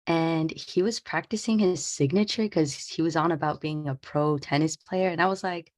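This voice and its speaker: An eighteen-year-old woman with a low voice talks in a dry, teasing way on a casual podcast. The sound is close and dry. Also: moderate pace, dry recording. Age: young adult. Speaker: co-host. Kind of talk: casual storytelling.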